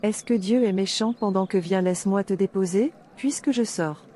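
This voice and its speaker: A woman voicing a pious, calm young woman in a cartoon drama, preachy and stilted. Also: mid-range voice, normal speed, lightly acted. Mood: friendly.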